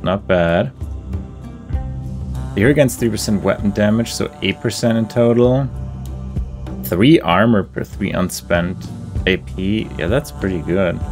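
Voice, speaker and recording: Low-voiced man musing through game commentary. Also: measured pace, long pauses, darkish tone, faint music behind. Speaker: gaming video host.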